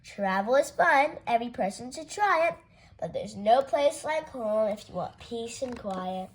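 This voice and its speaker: Slow, expressive woman reading a story. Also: fairly high pitch, rough recording. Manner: unhurried, expressive read-aloud with playful character voices. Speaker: storytime reader. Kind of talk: reading a rhyming picture book aloud.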